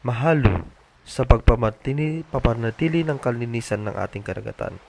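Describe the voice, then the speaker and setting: A man's voice: mid-range voice, brisk, creaky, darkish tone, close to an everyday voice. Kindly storybook father, male reader, caring manner, light background noise. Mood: grateful.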